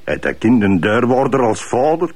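A man's voice: mid-range voice, big pitch swings, normal speed, a little mellow. A man playing a cocky, evasive petty crook being questioned in a radio drama, with a Flemish accent and a brash, slangy manner. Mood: irritated. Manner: brash, slangy, grumbling, flippant. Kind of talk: improvised radio drama interrogation.

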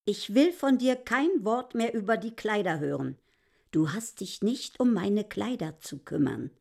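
An actress playing a haughty, easily offended innkeeper in a radio play, speaking imperiously and sharply. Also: female voice, mid-range voice, animated, a little slow, creaky. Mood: indignant. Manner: imperious, indignant, sharp-tongued. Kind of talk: literary radio play acting.

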